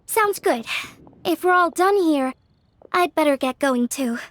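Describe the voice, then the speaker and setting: Breezy, high-voiced young craftswoman. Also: lively intonation, measured pace. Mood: breezy, friendly. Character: friendly, candid young craftswoman from fortune-teller family. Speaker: game character voice actor. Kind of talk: scripted video game dialogue.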